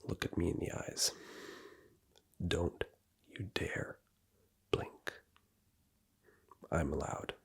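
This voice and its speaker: Man, ASMR roleplay: breathy, husky, bossy, teasing whisper up close. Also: deep voice, huge pitch swings, extremely slow, pauses often. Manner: commanding and teasing, giving orders and points.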